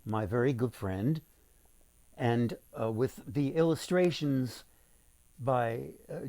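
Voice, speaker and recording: A man reading a folktale aloud as its author, with deliberate, dramatic phrasing for young listeners. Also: mid-range voice, a little mellow, no room sound.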